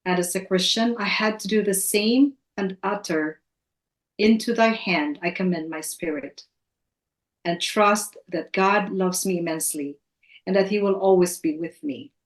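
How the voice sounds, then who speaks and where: A middle-aged woman from the Philippines, giving an earnest personal testimony in a measured, sincere way. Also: medium pitch. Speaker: guest, elementary teacher. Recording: echoey room.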